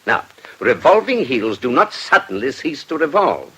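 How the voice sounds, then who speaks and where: A shrewd English gentleman investigator in a radio drama. His bright voice has a husky edge and moves in lively, precise, urbane phrases, quietly sly beneath formal courtesy. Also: medium pitch, moderate pace, exaggerated voice, rough recording. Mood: reasoning, emphatic. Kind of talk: British radio drama acting.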